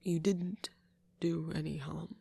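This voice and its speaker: A man performs a gentle, flirty vampire for an ASMR audio drama. He is soft and very husky, with some creak in the voice. The words come slowly and deliberately, in a curious, reassuring manner. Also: fairly high pitch, steady tone, no room sound, exaggerated voice. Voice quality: breathy, husky. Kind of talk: intimate ASMR audio roleplay.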